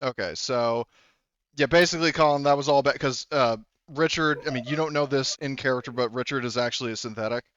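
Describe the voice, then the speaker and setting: A man acting as game master in casual talk, thinking aloud haltingly and swearing bluntly. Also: mid-range voice, fairly bright tone, clear, resonant tone, no room sound, lo-fi sound. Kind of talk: running a tabletop roleplaying game, casual talk.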